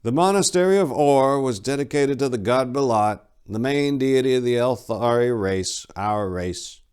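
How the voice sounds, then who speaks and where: An old elf monk on guard duty, voiced by a male narrator, devout and weary. He lectures in lofty, solemn phrases with a raised pitch, as in a dramatic fantasy storybook reading. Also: lively intonation, moderate pace, darkish tone, smooth, lightly acted. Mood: solemn, reverent.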